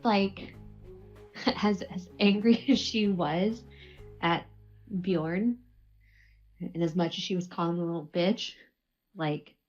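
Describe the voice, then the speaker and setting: A woman's voice: lowish voice, slow, long pauses, darkish tone. A woman playing a tabletop game, casually describing what her character feels and does. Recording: slightly dull sound, music behind.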